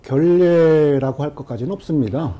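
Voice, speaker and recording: A man, a senior official, giving firm and deliberate commentary on a talk show. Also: on the high side, muffled recording.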